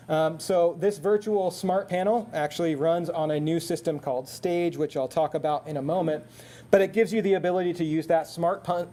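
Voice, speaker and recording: Higher-pitched man giving a product presentation. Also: warm tone, slight background noise. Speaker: product presenter for an intercom manufacturer.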